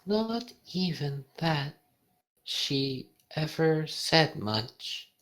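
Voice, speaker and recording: A bitter, wounded female machine mind as a reader acts her out, brooding over a loss in very slow, measured phrases. It is a dramatic fan fiction monologue. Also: mid-range voice, sing-song, a bit bright, pitched above the performer's own voice, full character voice.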